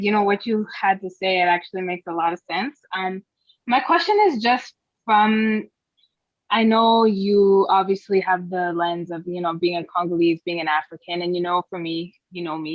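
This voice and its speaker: A woman's voice: fairly low pitch, fairly even intonation, normal speed, no room sound, dull audio. A young woman from Canada asking a question in a casual, hesitant way with many fillers. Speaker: audience member asking a question.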